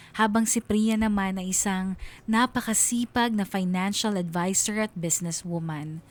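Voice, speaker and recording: Babaeng nagsasalaysay ng kuwento sa radyo. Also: female voice, medium pitch. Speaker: radio host reading a listener's story. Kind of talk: scripted first-person story narration. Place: radio studio. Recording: radio studio narration over music.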